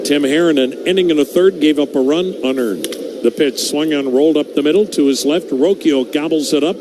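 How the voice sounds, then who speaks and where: Guy doing live baseball radio play-by-play, kinda high voice, lots of ambient noise behind him. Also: brisk. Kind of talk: live sports commentary.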